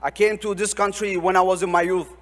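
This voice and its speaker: A young man speaks in a high voice, earnest and measured, as a student speaker. His voice carries a long reverb from a large hall. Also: little pitch movement, fairly bright tone. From Oromia, Ethiopia. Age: young adult. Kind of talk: prepared commencement speech.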